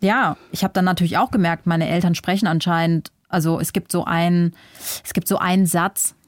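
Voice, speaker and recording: A young German-born woman author whose first language is Vietnamese, speaking reflectively in a relaxed interview and thinking aloud with small restarts. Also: fairly low pitch, normal speed, no room sound. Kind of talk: casual interview talk.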